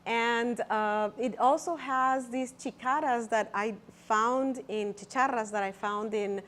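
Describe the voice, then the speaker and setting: High-pitched, halting woman. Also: fairly bright tone. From El Salvador. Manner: halting, nervous, searching for words. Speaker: artist presenting own exhibition. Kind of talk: informal gallery talk, explaining artworks to visitors.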